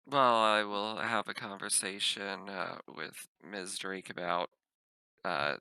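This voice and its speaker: Composed, wry woman captain acted by a man, lowish and very crisp, measured tabletop roleplay. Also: fairly even intonation, bright, forceful tone, no echo, full character voice. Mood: dry, composed. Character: composed, dryly wry female spaceship captain.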